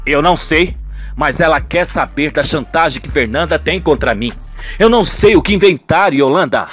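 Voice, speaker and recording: A man playing a smooth, deceitful adulterous lawyer in a radio soap, glib and self-assured. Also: a little high, animated, moderate pace, fairly bright tone, faint music behind. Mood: worried. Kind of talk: radio soap opera acting.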